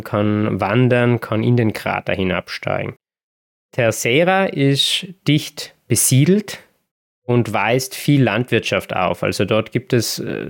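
A man hosting a podcast, telling travel stories in a relaxed, chatty way with small hesitations. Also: fairly low pitch, vocal fry. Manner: relaxed storytelling with occasional laughs and hesitations. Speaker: podcast host. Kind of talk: casual podcast chat.

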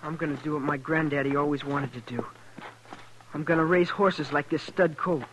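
A man's voice: fairly high pitch, steady tone, normal speed, fairly bright tone, natural voice. An American male actor playing a proud, polite but stubborn teenage farm boy in a 1950s radio western. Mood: proud, determined. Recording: noisy vintage radio recording.

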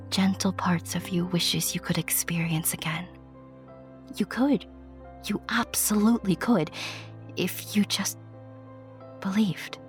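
A woman storyteller with a fairly low voice over soft music. Her scripted narration is tender and hushed, confiding in the listener with dramatic care. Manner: hushed, breathy, tender, addresses listener directly.

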